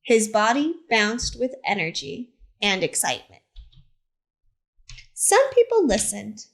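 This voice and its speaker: A woman reading a picture book aloud to children as a teacher librarian, in simple, deliberate phrases with short asides. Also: mid-range voice, huge pitch swings, vocal fry. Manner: simple, deliberate phrasing addressed to young listeners.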